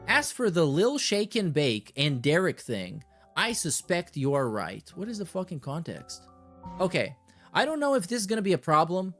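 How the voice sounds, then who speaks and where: A man's voice: a little high, fairly bright tone. A man doing casual reaction commentary and reading messages aloud, in a slangy, mocking, sweary way. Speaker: streamer and commentator.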